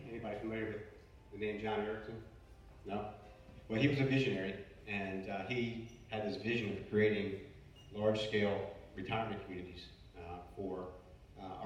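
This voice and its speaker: Man, 40s, low voice, chatty presenter; distant mic, echoey room. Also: a little hiss or hum. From Baltimore, USA. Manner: chatty with frequent fillers and restarts. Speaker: finance presenter. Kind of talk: casual company presentation.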